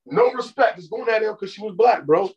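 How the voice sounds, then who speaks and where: A man co-hosting a podcast, chatting casually and profanely and telling animated stories. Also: sits high, a little fast, highs cut off. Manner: animated, swears freely, storytelling. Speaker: podcast co-host.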